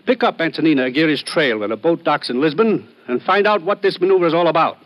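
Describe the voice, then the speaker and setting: A man playing an authoritative commissioner, crisp and official, in old radio drama style. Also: a little high, animated, fast, lo-fi sound, natural voice. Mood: businesslike, urgent. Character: brisk, authoritative male commissioner briefing an agent. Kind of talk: 1950s radio drama acting.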